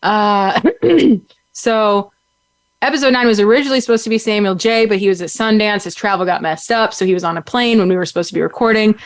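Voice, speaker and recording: A woman's voice: mid-range voice, a little fast, dry recording. A woman hosting a podcast, talking casually with her co-host and husband in a rambling, chatty way full of fillers and restarts.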